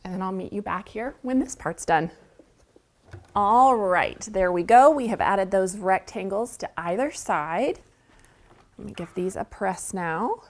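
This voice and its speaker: A woman leads a relaxed sewing lesson at a mid-range pitch. She chats through each step as she works, and she sounds slightly stuffy from a cold. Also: measured pace. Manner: chatty, walks through steps while working. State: has a cold, sniffling. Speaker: quilting show host. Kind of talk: friendly sewing tutorial. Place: sewing studio indoors.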